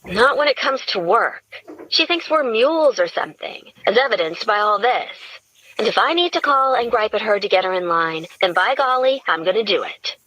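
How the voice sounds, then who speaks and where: A woman's voice: medium pitch, animated, moderate pace, bright, forceful tone, voice pushed up, low-quality recording. Woman voicing a brash, sardonic redhead. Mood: acerbic. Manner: cheerfully acerbic, griping.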